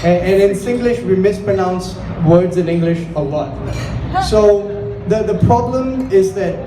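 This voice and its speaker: A man's voice: sits high, measured pace. A man from Singapore performing a conversational stand-up comedy set, swearing freely and acting out bits of dialogue. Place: indoor comedy venue with audience. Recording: echoey, distant live room with crowd noise.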